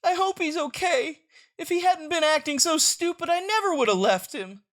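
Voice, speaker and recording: A woman voicing an excitable, stubborn young boy in a comic strip read aloud, with a dramatic, exclamatory manner. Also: high-pitched, normal speed, voice pushed up. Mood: worried, sad. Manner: dramatic, exclamatory, whiny when upset.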